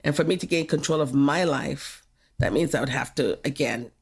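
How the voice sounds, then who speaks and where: Older woman, from Jamaica, low voice, husky and gravelly, candid, laughing often. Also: moderate pace. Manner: frank, laughing, reflective. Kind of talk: candid podcast conversation.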